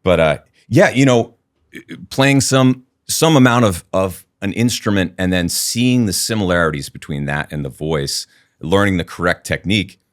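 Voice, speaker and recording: A man speaking as a podcast guest in casual chat, with a naturally low voice and a measured, deliberate way of talking. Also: animated, no room sound, studio quality.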